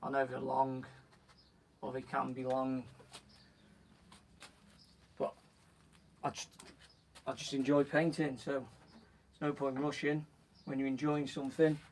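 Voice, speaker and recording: Croaky man talking through a painting. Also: mid-range voice, takes it slowly, long pauses. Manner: relaxed, talks while working, frequent "so" and "just gonna". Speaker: painting instructor on a live stream. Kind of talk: casual step-by-step demonstration. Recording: voice from a distance from the mic, faint background noise.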